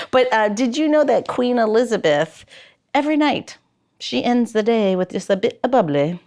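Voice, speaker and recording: Lively, playful woman podcast host. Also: a little high, moderate pace. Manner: playful, prone to chuckling. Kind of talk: chatty hosting with loosely scripted segments.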